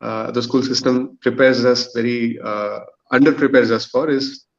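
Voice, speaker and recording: A man's voice: medium pitch, steady tone, moderate pace, creaky. A man in his thirties speaks on dull, lo-fi audio as a podcast guest. He explains in a thoughtful, structured way, often hesitating as he builds his answer. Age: 30s. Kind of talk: thoughtful panel discussion answer.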